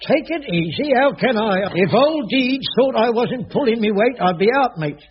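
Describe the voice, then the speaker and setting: An actor playing a grumbling, wily jobsworth caretaker with a working-class London accent in a radio sitcom. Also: male voice, very high voice, animated, normal speed, breathy edge, full character voice. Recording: dull old radio comedy recording.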